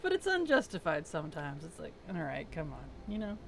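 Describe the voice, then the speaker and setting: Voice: mid-range voice, huge pitch swings, brisk, creaky, slight background noise. Streamer with a weak, worn-out voice. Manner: soft-spoken, reads chat aloud and mutters to self. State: weak, strained voice. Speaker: game streamer. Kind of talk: casual live-stream chat while playing.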